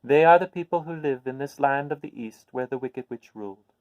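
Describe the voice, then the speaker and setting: A man voicing a kindly little old woman, a good witch from a storybook, speaking in an emphatic, deliberate way. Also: mid-range voice, dry recording, lo-fi sound, voice pushed up, close to an everyday voice. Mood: calm, proud. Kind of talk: storybook character voice.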